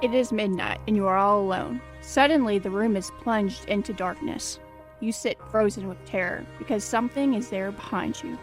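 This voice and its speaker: A woman's voice: mid-range voice, normal speed, soft background music. A woman narrating an old-time radio suspense introduction in a dramatic, ominous manner.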